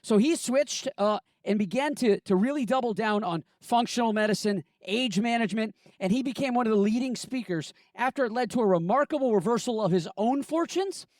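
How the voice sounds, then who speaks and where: High-voiced energetic male emcee. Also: fairly quick, few pauses, no echo. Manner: energetic hype-up delivery. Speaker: event emcee. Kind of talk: introducing a speaker.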